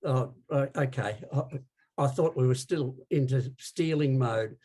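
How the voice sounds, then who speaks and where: Slow, halting male interviewer. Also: medium pitch, mellow, slightly rough. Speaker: podcast co-host. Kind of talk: casual interview questioning.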